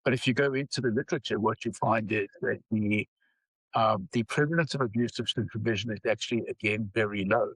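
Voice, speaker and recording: An older man with a South African accent, speaking as an interview guest in a measured way with frequent pauses. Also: on the low side, slightly rough, no echo, low-quality recording. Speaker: guest psychology researcher. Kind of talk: interview conversation.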